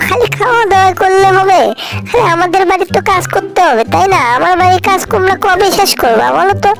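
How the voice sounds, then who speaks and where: Woman, high pitch, fan cartoon dub, fretful exclamations, faint music behind. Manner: exclaims often, fretful and scolding. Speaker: fan dubber voicing all cartoon figures.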